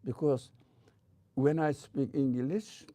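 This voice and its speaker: An older man with a heavy Turkish accent giving an informal religious lecture as a teacher, in a halting way with frequent pauses. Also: medium pitch, dark tone, dry recording. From Turkey.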